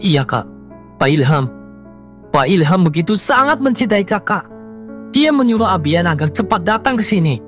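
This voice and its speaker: An earnest young policeman played by a woman speaks in a voice slightly low for a woman, over music. The delivery is urgent, imploring and melodramatic, as in a horror cartoon. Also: big pitch swings, normal speed, long pauses. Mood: earnest, pleading. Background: background music.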